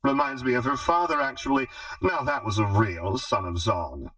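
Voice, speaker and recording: A man's voice: medium pitch, normal speed, bright, forceful tone, no echo, far from the mic, poor audio. A man voicing a smug, menacing female crime boss in an audiobook, with a condescending, mocking drawl. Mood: smug, amused. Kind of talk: audiobook character dialogue.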